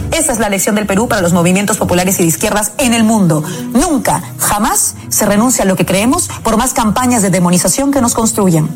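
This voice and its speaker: Peruvian woman, fiery scripted commentary, rapid delivery, music bed underneath. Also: fairly high pitch. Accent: Peruvian Spanish. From Peru. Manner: impassioned, declamatory, rhetorical refrains. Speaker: political commentator. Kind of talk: scripted opinion monologue.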